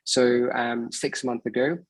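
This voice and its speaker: Man in his thirties, husky, hesitant. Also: mid-range voice, level tone, a little slow, no echo. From Bangladesh, raised in England. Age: 30s. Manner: hesitant, many fillers and restarts. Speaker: host of an online meeting. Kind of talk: casual presentation on a video call.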